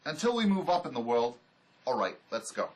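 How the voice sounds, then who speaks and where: A man acting the part of a gruff, skeptical, sarcastic escaped convict and armed robber in a drama. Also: medium pitch, big pitch swings, brisk, lightly acted. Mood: sardonic. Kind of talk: dramatic script performance.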